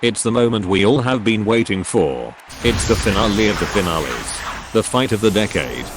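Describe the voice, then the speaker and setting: A man's voice: fairly low pitch, very animated, normal speed, background noise. A man playing an arena commentator, delivering sports-style play-by-play. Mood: hyped. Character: excited male arena fight commentator. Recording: loud music under commentary.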